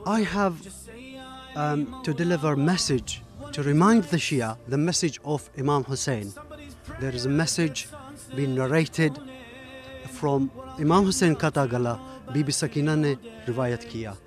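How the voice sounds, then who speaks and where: A man, answering as a contestant in a humble, earnest way with many pauses. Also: on the high side, music in the background. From Iraq. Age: 40s. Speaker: talent show contestant. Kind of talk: soft-spoken interview answers.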